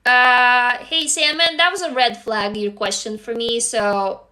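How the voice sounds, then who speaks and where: A woman's voice: fairly high pitch, fairly even intonation, a little slow, sharp tone. A young woman from Russia, whose first language is Russian, hosting a livestream and talking in an earnest, blunt way, swearing casually and reading viewer comments. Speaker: livestream host.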